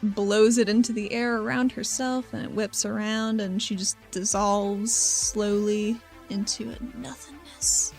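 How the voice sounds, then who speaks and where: A woman's voice: mid-range voice, steady tone, measured pace, hardly any pauses, faint music behind, poor audio. A woman playing a tabletop game, improvising in a casual, somewhat tentative way. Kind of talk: casual improvised role-play.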